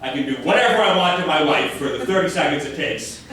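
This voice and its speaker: Young Minnesotan man, stand-up comedy, self-deprecating, lively up-and-down delivery, echoey club from afar. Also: fairly high pitch, fast, slight background noise. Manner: self-deprecating, crowd work. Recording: echoey club audio from a distance.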